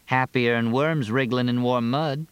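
A man's voice: mid-range voice, fairly even intonation, unhurried, clear, resonant tone, no echo, pitched above the performer's own voice, natural voice. A man voicing a teasing, outspoken country woman with a wry drawl in a story reading.

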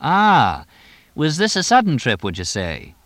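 A man's voice: on the high side, huge pitch swings, a little slow, a bit bright, no room sound, pitched above the performer's own voice, exaggerated voice. A man voicing a wry, teasing horse owner in audiobook dialogue, dry and inquisitive as he banters. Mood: probing, teasing.

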